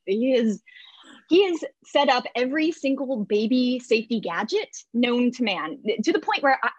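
A woman playing an eager, awkward, apologetic new mother, gushing and halting, in a comedic play reading with a husky voice. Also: fairly high pitch, dry recording. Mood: chatty. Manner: nervous, gushing, halting self-corrections. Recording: muffled video call audio.

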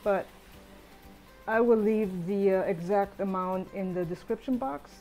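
A middle-aged woman calmly talking through her cooking steps in a chatty home tutorial voice-over. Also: mid-range voice, a little slow, faint music behind. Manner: relaxed, chatty step-by-step explaining. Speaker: home cook.

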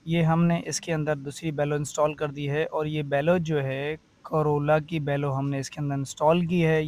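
A man's voice: on the high side, a little mellow. A man, a car AC mechanic, walks through a repair step by step and keeps saying "theek hai" and "ye dekhiye". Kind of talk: workshop tutorial.

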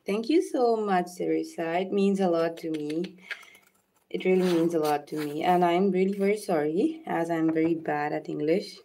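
A woman whose first language is Urdu, gently talking viewers through a nail art demonstration and thanking them by name. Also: on the low side. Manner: soft-spoken, unhurried, thanks viewers by name. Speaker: nail art tutorial host. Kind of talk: live nail art demonstration with chat shout-outs.

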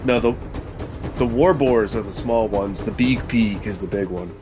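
Wry male gamer over clear music. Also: on the low side, moderate pace. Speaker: tabletop role-playing player. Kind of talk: casual game chat. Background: prominent background music.

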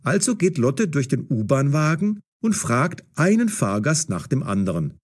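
A man narrating a scripted audio story as storyteller, with a husky voice. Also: medium pitch, big pitch swings, moderate pace, darkish tone.

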